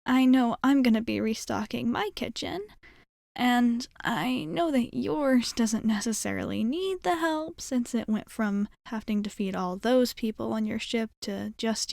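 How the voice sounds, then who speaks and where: Soft, unhurried, higher-pitched female alien rescuer played by a woman. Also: creaky, no echo, studio quality, full character voice. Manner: soft, hesitant, reassuring, conversational. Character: friendly, caring female alien spaceship rescuer, gently teasing. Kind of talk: ASMR roleplay monologue.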